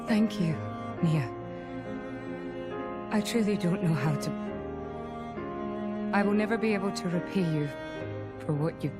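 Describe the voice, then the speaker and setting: A woman's voice: lowish voice, unhurried, long pauses, over loud music, lightly acted. A woman playing a dutiful, devoted imperial officer in a video game, speaking formally and earnestly. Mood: grateful. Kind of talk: dramatic video game voice acting.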